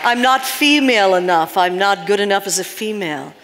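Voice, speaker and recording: A woman's voice: mid-range voice, expressive, a little fast, a crowd in the background, background noise. A woman in her sixties leading a live workshop, earnest and asking the audience questions. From United States. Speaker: seminar leader. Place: large seminar hall. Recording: long reverb of a seminar hall.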